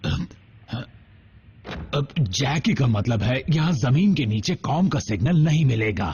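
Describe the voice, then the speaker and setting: A man voicing a protective, gruff robot warrior in a cartoon dub, stern and urgent. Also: mid-range voice, expressive, a little slow, darkish tone. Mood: concerned. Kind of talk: animated series dub acting. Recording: dull sound, noisy ambience.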